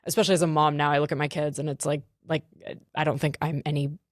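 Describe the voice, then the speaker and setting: Fast-talking chatty woman host. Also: low-pitched, little pitch movement, no echo, clean studio sound. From Germany. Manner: quick, chatty, says "interesting" a lot. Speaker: podcast host. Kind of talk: casual interview chat.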